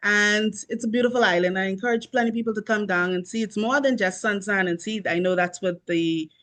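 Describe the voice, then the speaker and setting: A woman's voice: mid-range voice, a little fast, a bit bright. A woman from the Bahamas with a Bahamian accent, an author answering questions in casual interview chat.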